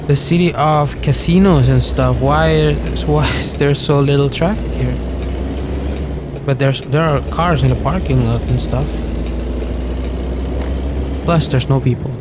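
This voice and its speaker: A young man with a non-native English accent chats his way through a driving game, stumbling over restarts and fillers. His voice sits at an ordinary middle pitch for a man. Engine and traffic noise from the game runs clearly beneath him. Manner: rambling, many fillers and restarts. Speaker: gaming channel host. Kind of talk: casual gameplay commentary.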